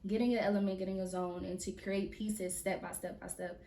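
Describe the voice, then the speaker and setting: A woman's voice: medium pitch, fairly quick. Young woman guest from Mississippi, casual and slangy, roomy distant studio sound. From Mississippi, USA. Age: young adult. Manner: relaxed, slangy, emphatic repetitions. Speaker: guest choreographer. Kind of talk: casual interview. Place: podcast studio.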